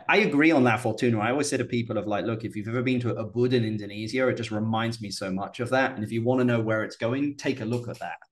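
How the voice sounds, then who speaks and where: A man hosting a casual interview and asking questions in a chatty way, with lots of "you know"s. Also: mid-range voice. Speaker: podcast host.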